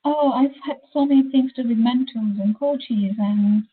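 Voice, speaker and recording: Enthusiastic woman host, husky phone sound. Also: fairly high pitch, moderate pace, phone-quality sound. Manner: enthusiastic, affirming. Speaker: radio show host. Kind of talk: conversational interview.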